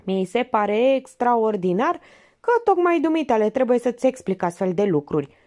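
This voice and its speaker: A sarcastic older lady detective pokes at a suspect with pointed, mocking jabs, voiced by a woman reading a storybook. Her voice sits high and swings widely in pitch. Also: sing-song, warm tone. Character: sharp sarcastic older female amateur detective.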